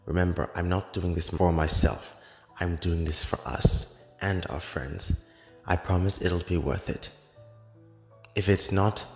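A man playing a grave, lovelorn immortal in an animated drama, speaking earnestly in measured, formal, poetic phrases. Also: deep voice. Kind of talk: dramatic animated-film voice acting. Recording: echoey hall reverb, music underneath.

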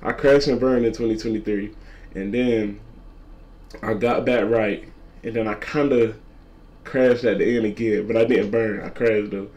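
A twenty-year-old man with a deep voice, a content creator talking casually to camera and repeating his points. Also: fairly even intonation, normal speed, darkish tone, lo-fi sound. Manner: repetitive, laid-back slangy talk, repeats lines. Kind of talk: casual vlog talk to camera.

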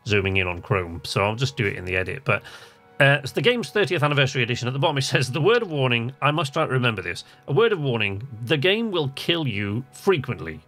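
A man's voice: medium pitch, big pitch swings, quick pace, a bit bright, faint music behind. A man giving casual let's-play commentary, chatty and hesitant as he thinks aloud and reads game text. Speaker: host of a video game channel.

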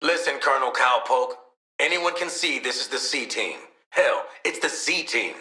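A man's voice: medium pitch, animated, moderate pace, pushed and bright, reverberant. An actor playing a cynical, cocky assassin and protective father in a video game, with an American accent and a wry, slangy, streetwise way of talking. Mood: wry, confident. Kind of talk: video game character voice lines.

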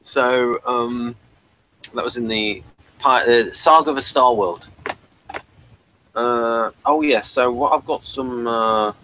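A middle-aged man hosting a casual review chat over a video call, halting and repeating words as he gathers his thoughts. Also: medium pitch, bright, forceful tone, a little hiss or hum, low-quality recording. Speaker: host of a review show. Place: small cluttered room at home. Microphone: headset on a video call.

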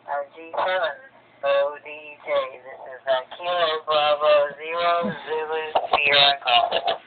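Higher-voiced man over tinny radio. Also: fairly even intonation, slow. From United States. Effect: radio transmission. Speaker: amateur radio operator. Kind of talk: casual ham radio contact. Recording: tinny radio channel, faint noise.

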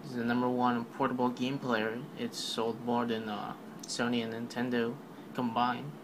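A man's voice: medium pitch, flat delivery, normal speed, background noise. A man giving a casual, rambling voice-over recap of a product keynote, full of fillers and offhand remarks. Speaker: tech video narrator.

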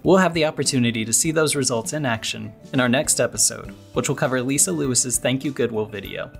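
A man's voice: medium pitch, quick pace, gravelly, soft background music. A man hosting a podcast, delivering scripted narration. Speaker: podcast host.

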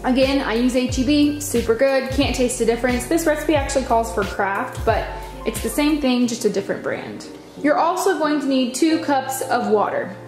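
A high-pitched American woman gives a casual cooking demonstration in a home kitchen. She explains each step in a chatty way. The room is echoey and music plays behind her. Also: moderate pace.

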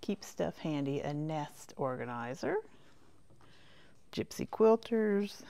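Folksy woman vlogger, creaky low voice. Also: sing-song, takes it slowly, warm tone. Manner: chatty, folksy, trailing off between short remarks. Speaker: vlogger giving a shop tour. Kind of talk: casual rambling tour commentary. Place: indoors, walking through a quilt shop.

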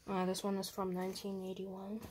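A young girl walks through her home and chats about each room, mid-pitched and stopping often to restart her sentences. She is recorded on a handheld phone indoors, with a faint hiss and some handling clicks in the background. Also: monotone. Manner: chatty, halting with fillers and restarts. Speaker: house tour guide. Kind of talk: casual home tour vlog. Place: inside a house. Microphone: handheld phone camera.

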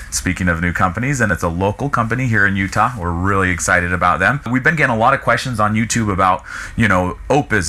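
American male vlog host, thirties, very bright and punchy delivery, some noise behind him. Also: on the low side. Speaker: show host from an RV dealership. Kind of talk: casual vlog presenting. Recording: noticeable background noise.

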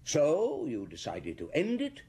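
An actor playing a shrewd, methodical chief inspector in a radio drama, probing and deliberate with a husky voice. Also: male voice, on the high side, huge pitch swings, mellow, exaggerated voice. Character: shrewd, methodical male police chief inspector laying out accusations. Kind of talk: BBC radio drama acting.

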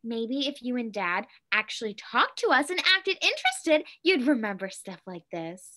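A woman's voice: on the high side, sing-song, moderate pace, sharp tone. A woman in her twenties playing an exasperated, sarcastic daughter held captive, in a play reading over a video call. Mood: irritated. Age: 20s. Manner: sarcastic, foul-mouthed, pleading. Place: home kitchen, indoors.

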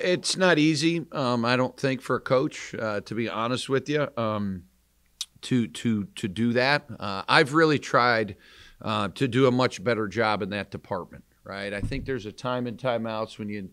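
An American man in his forties, a college basketball coach, answering press questions in a measured, candid way with pauses to think. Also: mid-range voice, a bit bright, clean tone. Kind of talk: postgame press conference answers. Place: indoor press conference room, seated at table. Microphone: microphone on table stand.

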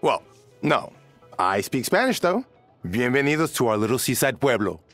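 A man's voice: mid-range voice, sing-song, moderate pace, a bit bright, soft background music. An actor playing a flamboyant, playful townsman in a video game.

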